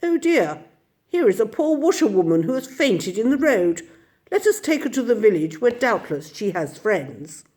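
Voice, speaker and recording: Fussy kindly gentleman, genteel and hearty, read by a woman narrator. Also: a little high, very animated, moderate pace, voice pushed up. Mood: concerned.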